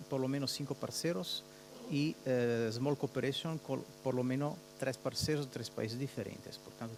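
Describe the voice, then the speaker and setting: A man with an Italian accent presents his research group's work at a conference. He talks haltingly and keeps stopping to find the right word. His voice sits at a middle pitch, with a light hiss in the background. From Italy. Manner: halting, searching for words, mixing in Portuguese words.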